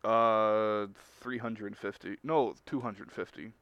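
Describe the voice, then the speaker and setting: A man's voice: on the low side, fairly even intonation, slow pace, fairly bright tone, clean tone, no echo, dull audio. A man chatting casually and slangily on a livestream in a rambling way. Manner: slangy, rambling, chuckles often. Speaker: web video creator.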